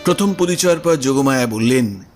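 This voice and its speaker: A man's voice: medium pitch, animated, fairly quick, darkish tone, faint music behind. A man reading literary prose as the narrator of a Bengali audio story, in a scripted storytelling style.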